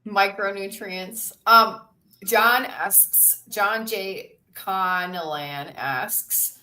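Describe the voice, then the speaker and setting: Bright-voiced woman co-hosting a livestream. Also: mid-range voice, extremely slow. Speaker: co-host. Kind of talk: casual livestream chat.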